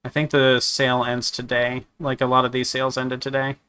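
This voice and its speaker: A man with a slightly raspy voice from a sore throat, chatting casually while reviewing games, rambling with many restarts and fillers. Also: mid-range voice, completely flat delivery, fairly quick, pushed and bright. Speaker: gaming streamer. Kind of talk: casual game review chat.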